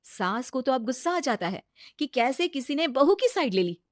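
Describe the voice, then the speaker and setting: Female storyteller: high voice, scripted narration of a family tale, dry close sound. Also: sing-song, normal speed, no room sound.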